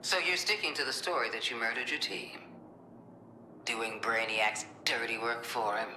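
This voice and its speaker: A commanding woman director in a video game, stern and suspicious. Her voice is very forceful and cutting. She is blunt and impatient, heard in a roomy space with slight noise. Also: a bass voice, steady tone, normal speed, full character voice. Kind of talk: dramatic video game voice acting.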